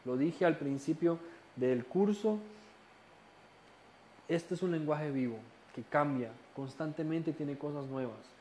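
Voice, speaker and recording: A man with a Costa Rican accent and a fairly high voice teaches a recorded Bible study course. He explains calmly, asks rhetorical questions and sometimes restarts a word. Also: unhurried, pauses often, slight background noise. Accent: Costa Rican Spanish. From Costa Rica.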